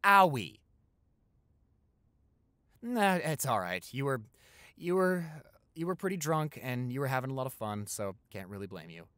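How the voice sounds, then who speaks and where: A man's voice: mid-range voice, sing-song, unhurried, pauses often, pushed and bright, clean tone, no echo. A man playing an affectionate, easily flustered young boyfriend in an improvised intimate roleplay, chatty and tender, stammering when flustered. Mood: playful, teasing.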